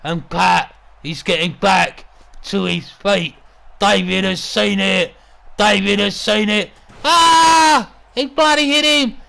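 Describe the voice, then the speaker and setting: A gruff, crude wrestling boss, played by a man, calls the action in a heavy gravelly growl. The voice sits a little high and bright, with a forceful edge. He talks bluntly, swears freely and sounds like a threat. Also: takes it slowly, full character voice. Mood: excited. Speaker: wrestling commentator. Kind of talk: wrestling commentary with in-character banter.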